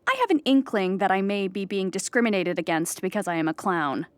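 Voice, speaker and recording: An actress playing an anxious, childlike clown who rambles earnestly in a theatrical audio comedy monologue. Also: female voice, mid-range voice, expressive, fairly quick, dry recording, lightly acted. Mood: indignant. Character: anxious, childlike clown pleading at an appeal hearing.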